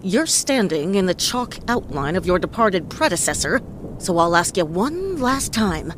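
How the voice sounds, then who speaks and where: An actress playing a tough, wisecracking female private detective in a noir audio play, speaking in a deadpan, sardonic way. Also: on the low side, unhurried, a little hiss or hum. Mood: wry, challenging. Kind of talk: hard-boiled noir radio play acting. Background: prominent music.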